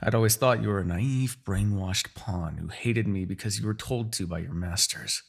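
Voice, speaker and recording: A man acting a menacing, husky demon king. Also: on the low side, moderate pace. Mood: brooding, taunting. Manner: menacing, theatrical, sardonic. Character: proud, bitter, centuries-old male demon king. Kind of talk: fantasy ASMR audio roleplay acting.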